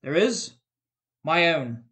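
A reader voicing a giant, hearty male Christmas spirit who speaks in loud, emphatic declarations. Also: mid-range voice, sing-song, slow. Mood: calm.

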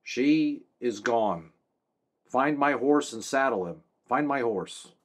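A man reading aloud gives an earnest young king from a fantasy novel. The voice sits higher than his own and stays husky, with a lively, rising and falling delivery. The figure comes across as anguished and determined. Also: a little slow, full character voice. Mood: commanding.

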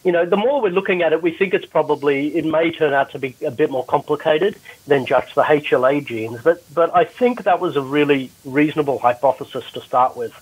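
Husky-voiced man explaining a health topic. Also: a little high, brisk, few pauses, low-quality recording. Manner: explanatory, with frequent restarts. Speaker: doctor presenter. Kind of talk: panel discussion, explaining. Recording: replay of a Clubhouse audio room.

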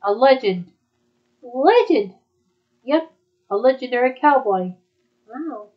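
A woman with a fairly high voice chats in a casual, conversational way. The recording is slightly dull and lo-fi. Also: expressive, slow. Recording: dull, muffled lo-fi audio.

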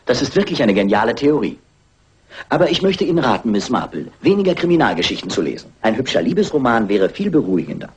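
An actor playing a sceptical, exasperated police inspector in a German crime radio drama, dismissive and emphatic. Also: male voice, medium pitch, very animated, fast talker, darkish tone, a little hiss or hum, close to an everyday voice.

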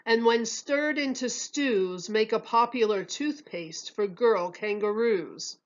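A woman's voice: a little high, slow pace, dull audio. A woman voicing a contrary know-it-all male waiter in a storybook, declaring his rhymes emphatically. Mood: confidently contrary. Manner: emphatic, declaring, sing-song rhyming.

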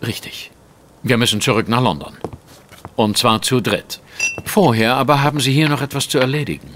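An actor playing a shrewd, self-assured Victorian detective in an audio drama, explaining things in a precise, assertive way. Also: male voice, medium pitch, normal speed, a bit bright, slight background noise, natural voice. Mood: brisk, commanding.

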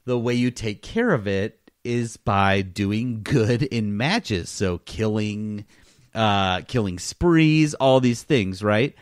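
A man's voice: on the low side, slow pace. A man hosting a gaming podcast in casual, joking chat with a mock-incredulous manner. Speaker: podcast host.

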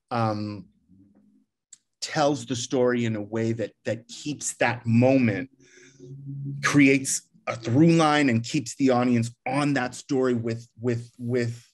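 A middle-aged man, a theater director, talking at home over a video call in a reflective, rambling way with many restarts. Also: mid-range voice. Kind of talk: casual video call conversation. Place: living room at home.

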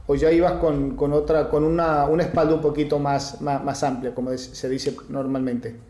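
A man's voice: a little high, level tone, normal speed, dark tone. A man with a Rioplatense Spanish accent, interviewing conversationally and rephrasing his questions in a roundabout way. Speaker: podcast host, interviewer. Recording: roomy, echoey sound.

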